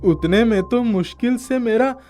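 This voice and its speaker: A man's voice: very high voice, measured pace, a little mellow, soft background music, voice pushed up. A man voicing a humble, troubled village woodworker in a moral story, pleading and deferential in manner. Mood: earnest pleading. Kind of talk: acted dialogue in a moral story.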